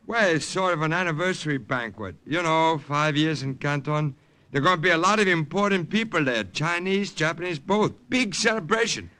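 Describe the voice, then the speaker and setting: A cynical young opportunist in a vintage radio drama. His voice is fairly high and forceful, with a breezy, defensive manner. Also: animated, moderate pace. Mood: breezy, eager. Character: cynical, self-serving young brother. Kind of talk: old-time radio drama acting.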